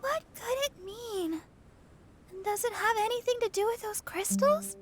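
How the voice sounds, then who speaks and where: A woman voicing a gentle, wondering young girl in a video game, speaking tentatively and sweetly. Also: extremely high pitch, measured pace, slight background noise. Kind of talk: video game character acting.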